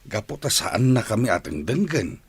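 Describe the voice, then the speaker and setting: A low, husky family man in middle age, fond yet worried. His gravelly voice moves expressively through a radio play. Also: sing-song, moderate pace, no room sound, full character voice. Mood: worried, resigned. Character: middle-aged father and uncle, fond but worried. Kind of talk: radio drama acting.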